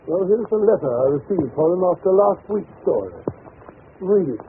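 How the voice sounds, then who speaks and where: A man playing a genial, mildly exasperated gentleman companion in a 1930s radio drama. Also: high voice, lively intonation, normal speed. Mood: mildly annoyed. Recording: scratchy, tinny 1930s radio recording with hiss.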